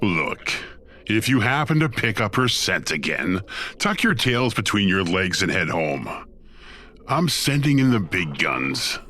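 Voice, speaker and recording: A man's voice: low voice, big pitch swings, measured pace, bright, forceful tone, a little hiss or hum, full character voice. An actor playing Satan, a smug, sardonic and theatrical ruler of Hell who toys with his listeners in an audio drama. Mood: brisk, commanding. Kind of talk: scripted audio drama acting.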